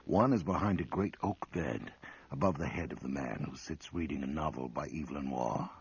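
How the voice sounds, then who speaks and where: Spooky radio drama narrator, measured, rock-bottom raspy voice, muffled old sound. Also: male voice, a bass voice, exaggerated voice. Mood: ominous. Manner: measured, suspenseful. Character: ominous narrator of a ghost story.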